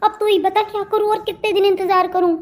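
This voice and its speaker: A restless young wife in a cartoon family drama, played by a woman. The voice is very high and girlish in pitch. She sounds lovesick, pleading and eager, with plenty of drama. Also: flat delivery, quick pace. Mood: fretful, pleading. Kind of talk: melodramatic family cartoon dialogue.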